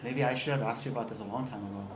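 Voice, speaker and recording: A man's voice: medium pitch, rapid-fire. A man hosting a casual interview, asking short curious questions and reacting with easy enthusiasm. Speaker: podcast host. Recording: roomy, noisy, off-mic.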